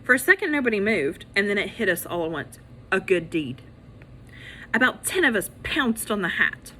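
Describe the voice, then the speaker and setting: A woman reading a children's story aloud as a teacher, in an expressive, animated way that acts out the characters. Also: mid-range voice, normal speed, a little hiss or hum.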